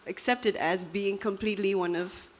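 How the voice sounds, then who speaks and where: A young woman from Namibia speaks with a Dutch accent. She gives a personal talk to an audience in a reflective, conversational way, often pausing on small fillers. Her pitch sits in the middle range for a woman. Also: steady tone.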